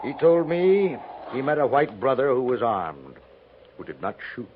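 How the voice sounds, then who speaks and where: Frontier Jesuit played by a male actor: American, gentle, steadfast, lively inflection, hissy old radio sound. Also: mid-range voice, moderate pace, close to an everyday voice. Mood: calm, assured. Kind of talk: 1950s radio drama acting. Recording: tinny, noisy 1950s radio recording.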